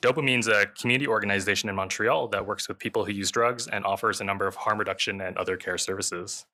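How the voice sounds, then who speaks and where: A man with a fairly low voice moderates a panel. His tone is very bright and forward, and he speaks in the prepared manner of a formal introduction.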